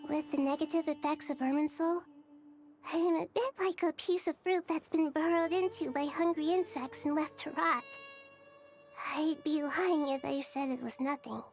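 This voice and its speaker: A gentle child goddess from a video game, her voice high and girlish. She speaks with earnest, measured politeness and thoughtful wisdom, over soft music. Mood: gentle, reflective. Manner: measured, articulate, formally polite. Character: gentle, wise young girl deity, earnest and thoughtful. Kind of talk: video game story acting. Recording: music behind the dialogue.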